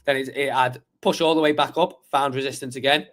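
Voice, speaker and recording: Chatty man with a croaky voice. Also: mid-range voice, steady tone, fairly quick, a bit bright. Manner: chatty, rambling, many fillers and restarts. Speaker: crypto livestream host. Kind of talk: casual live chart analysis.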